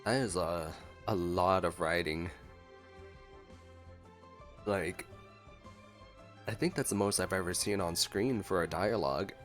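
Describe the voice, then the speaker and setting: A man's voice: low-pitched, takes it slowly, long pauses. A man giving casual, chatty gameplay reaction commentary as a streamer. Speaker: gaming streamer. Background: faint game music.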